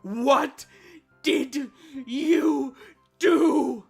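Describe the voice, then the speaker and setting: Woman acting furious, high-voiced female villain. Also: very slow, darkish tone, exaggerated voice. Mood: seething. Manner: indignant, threatening, emphatic outbursts. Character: furious, menacing, exasperated young female villain. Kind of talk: dramatized fan fiction audio reading. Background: background music.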